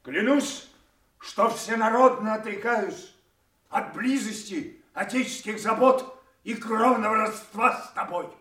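An actor playing a proud, imperious old king in a solemn, declamatory classical audio drama, quick to rage. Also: sits high, sharp tone, echoey room. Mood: furious.

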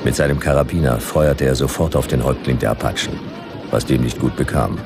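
Very deep gravelly hardboiled detective. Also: male voice, fairly quick, music in the background. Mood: dry, deadpan. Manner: dry, deadpan. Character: hardboiled laconic male detective narrating his Western ordeal. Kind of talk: radio play first-person noir narration.

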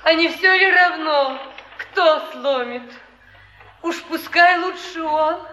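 A woman's voice: extremely high pitch, slow, bright, forceful tone, exaggerated voice. An actress playing a downtrodden, timid young slum woman, plaintive and resigned, in a 1950s Soviet stage drama. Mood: mournful, resigned. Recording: echoey theatre hall, background hiss.

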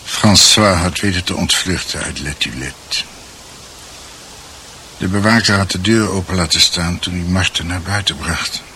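Sombre storyteller of family tragedy, low-pitched and slow, radio drama, faint hiss. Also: male voice, pushed and bright, rough recording. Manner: measured, sombre. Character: grave man recounting his family's tragic history.

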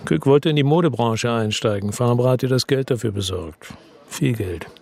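An actor playing a world-weary, bitter crime investigator who narrates and talks tersely in a radio drama, with a gravelly, husky, deadpan voice. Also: male voice, medium pitch, normal speed. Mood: matter-of-fact. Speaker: first-person narrator and lead character in a radio drama.

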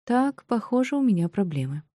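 A woman voicing an indignant, bewildered young woman in an audiobook, exclaiming and quick to snap. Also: medium pitch, sing-song, dark tone, no echo, studio quality, pitched above the performer's own voice. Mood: wary, defiant. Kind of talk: audiobook character acting.

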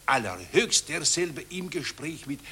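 An actor playing an obsequious, pushy press photographer in a 1940s radio play, eager and insistent. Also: male voice, a little high, sing-song, a little slow, pushed and bright, a little hiss or hum, heavily acted. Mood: fawning.

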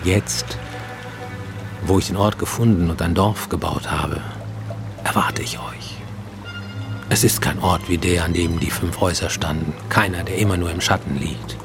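Over music comes a very deep male voice, that of a fugitive makeshift healer in a radio drama. He speaks deliberately and close to his listener, with a gravelly creak, as an earnest dreamer confiding his vision. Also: fairly even intonation. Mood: solemn, hushed. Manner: intimate, deliberate, addressing a listener, dropping to whispers. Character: fugitive Japanese man in Mexico, makeshift healer and tooth-puller, visionary dreamer. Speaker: actor in a radio play. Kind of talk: German radio drama monologue.

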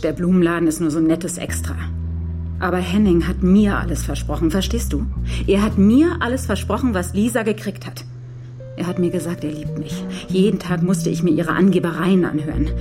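A woman's voice: on the low side, brisk, natural voice. An actress playing a scheming, seductive, jealous woman in a German crime radio play, coaxing and taunting, often dropping close to a whisper. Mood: bitter, jealous. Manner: coaxing, taunting, drifts into breathy near-whispers. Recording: music bed behind the voice.

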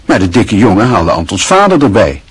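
A man narrating a radio play in a measured, even storytelling manner. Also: on the low side, husky, no echo, poor audio.

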